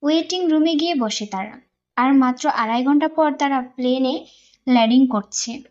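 A woman narrates a scripted romance story. Her voice sits high in pitch. The recording is somewhat dull and lo-fi. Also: moderate pace, a little mellow.

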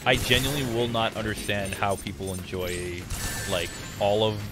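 A man's voice: lowish voice, moderate pace. A young man chatting casually as he streams a game, rambling and giving his opinions. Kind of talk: casual live game commentary and chat. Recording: game music behind the voice. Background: video game music and sounds.

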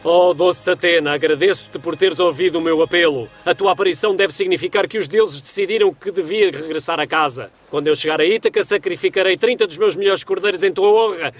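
A man with a European Portuguese accent playing a proud, middle-aged Greek hero king in a dubbed animated film, speaking formally and theatrically. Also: high voice, brisk, few pauses. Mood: reverent, hopeful. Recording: film soundtrack with music underneath.

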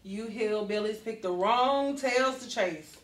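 A woman's voice: mid-range voice, slow, far from the mic, lightly acted. A woman reading the part of a tough, vengeful backwoods mama in a horror comedy table read. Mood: commanding, threatening. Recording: echoey room, off-mic.

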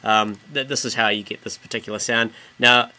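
A man from New Zealand giving a casual software tutorial as a music production tutor, informally explaining and rephrasing himself as he goes. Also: on the low side, fairly even intonation, a little fast, noisy background.

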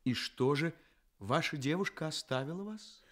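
A nervy polite curious gent, radio play style, voice swoops around, a bit high. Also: male voice, moderate pace. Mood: politely curious. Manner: courteous, fussy, animated. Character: curious, fussy, polite, easily startled gentleman listener. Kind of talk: theatrical Soviet radio play acting.

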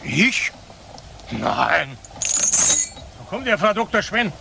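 Gravelly, indignant farmer. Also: male voice, high voice, big pitch swings, slow pace, full character voice. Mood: defensive, indignant. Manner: curt, indignant. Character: defensive, gruff male farmer, father of sons. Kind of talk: German crime radio drama acting. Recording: noisy outdoor-scene drama ambience.

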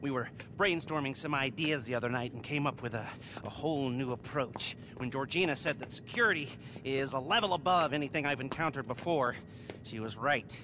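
A man's voice: medium pitch, fairly quick, background noise, natural voice. An actor playing a nervous, awkward middle-aged hacker in a video game cutscene, stammering and fumbling his words. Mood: explaining. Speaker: heist planner character.

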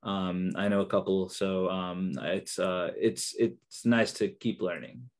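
A man's voice: low-pitched, steady tone, slow pace. A young man with Kannada as his first language, a guest on a video call interview, talking in a hesitant way full of fillers and restarts. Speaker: interview guest, researcher and therapist.

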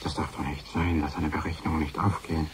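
A man's voice: very deep voice, flat delivery. An actor playing a brooding, sardonic man muttering bitterly to himself in a radio play. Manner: slow musing, muttering in short broken phrases. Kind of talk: 1980s German radio play monologue. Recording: hissy archive radio recording.

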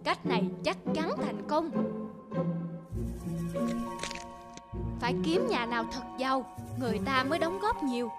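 Woman playing a high-voiced sly fake monk man. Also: lively intonation, brisk, loud music behind the voice. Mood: scheming. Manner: pious, formal, persuasive. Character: sly fake monk, gaunt man, smoothly pious swindler. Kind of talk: cartoon folktale dialogue.

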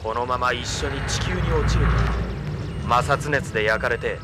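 A man's voice: mid-range voice, normal speed, very noisy, natural voice. An actor playing an aggressive, mocking male enemy mecha pilot, theatrical, in an anime radio drama. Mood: menacing taunts.

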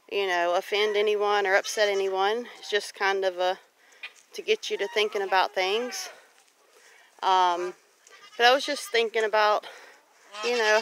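A woman's voice: medium pitch, a bit bright, rough recording. A woman from Louisiana talking casually and reflectively in a plain-spoken, rambling way, like a homestead vlogger on a walk. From Louisiana, United States. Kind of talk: casual walk-and-talk monologue. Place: outdoors on a grassy farmyard. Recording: outdoor noise, farm animal sounds.

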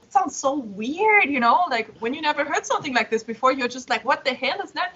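A woman's voice: high-pitched, lively intonation, very fast, pushed and bright. A young woman guest on a podcast, chatting casually and animatedly, full of "like" and "you know". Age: young adult. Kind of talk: casual interview chat. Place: Berlin. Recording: dull lo-fi sound.

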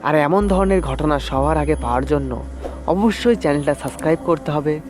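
A man's voice: a little high, a little fast. A man telling a scripted first-person horror story as a channel narrator, with music behind him. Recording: music under the voice.

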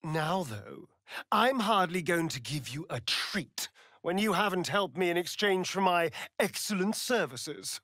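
An actor playing a vain, sardonic vampire rogue in a fantasy video game, with an arch, drawling, theatrical delivery. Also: male voice, sits high, animated, unhurried, sharp tone, no room sound, studio quality, exaggerated voice. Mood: coaxing, sly.

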